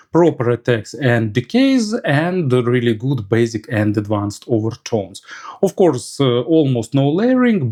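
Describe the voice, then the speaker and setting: Guy doing a gadget review, average pitch, kinda halting bit by bit phrasing. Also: a little mellow. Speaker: audio gear reviewer. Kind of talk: product review commentary.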